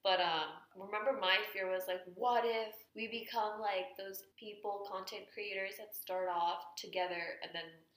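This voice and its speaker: A young woman co-hosting a podcast in casual chat, talkative and quick to laugh. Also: mid-range voice, fairly even intonation, fairly bright tone. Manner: laughs easily, chatty with many fillers. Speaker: podcast co-host. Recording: echoey room.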